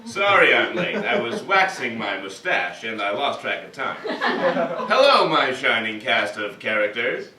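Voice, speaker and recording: A man's voice: mid-range voice, big pitch swings, a little slow, a crowd in the background. A man playing a bombastic, genial old theme park founder in a staged cartoon reading, with a grandiose welcoming delivery. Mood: breezy, genial. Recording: distant roomy stage recording, some hiss.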